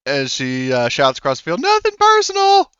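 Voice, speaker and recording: A man running a tabletop game as game master, talking haltingly with many fillers. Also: fairly high pitch, wildly swooping pitch, a bit bright, clean tone, no room sound, muffled recording.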